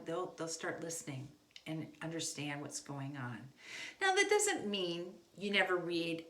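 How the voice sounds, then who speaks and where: A woman giving casual, conversational instructional advice as a homeschooling parent. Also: lowish voice. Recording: echoey room.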